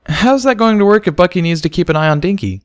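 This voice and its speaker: Storybook adult mare, played by a man: raised pitch, casual, easygoing, playful. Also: lively intonation, fast talker, dry recording, clean studio sound.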